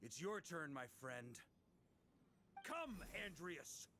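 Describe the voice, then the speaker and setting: An actor playing an easygoing, confident veteran knight commander in a video game. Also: male voice, a little high, very animated, takes it slowly, background noise. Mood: grave, wary. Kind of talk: English game voice acting.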